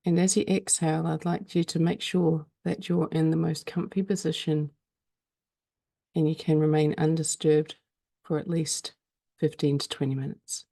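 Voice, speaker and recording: A woman leading a guided meditation in a calm, soothing way, speaking slowly with frequent pauses. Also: deep voice, vocal fry, no room sound.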